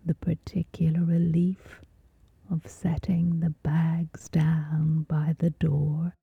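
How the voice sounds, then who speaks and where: Woman, lowish pitch, soft whispery voice, soothing meditation guide, long pauses, close dry sound, muffled narrow band. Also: little pitch movement, creaky, slightly rough, no room sound. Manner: soothing, gentle, long pauses between short phrases. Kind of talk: guided sleep meditation, scripted.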